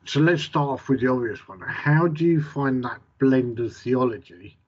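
A middle-aged man interviewing a guest over a video call, laying out his questions in a measured, step-by-step way. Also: medium pitch, lively intonation, slightly rough. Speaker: chaplain interviewer. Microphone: webcam on a video call. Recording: dull lo-fi video call audio.

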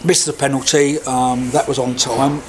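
A man's voice: mid-range voice, flat delivery, moderate pace, very noisy. An older man hosting a club TV post-match chat outdoors, asking leading questions. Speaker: club TV interviewer. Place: outdoors by a football ground wall.

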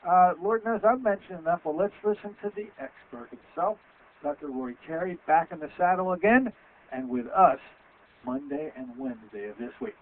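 Older male radio doctor-host, Western PA accent, loud and chatty, headset mic, thin phone-like audio. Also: on the high side, expressive, moderate pace, slight background noise. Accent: Western Pennsylvania. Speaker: radio show host, physician. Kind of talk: casual radio talk. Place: small office with framed certificates.

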